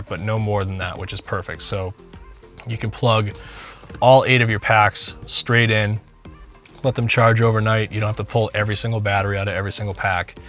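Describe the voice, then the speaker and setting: A man's voice: on the low side, sharp tone. A man in his forties giving a casual, conversational product review to camera, explaining things as he goes. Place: home music studio room.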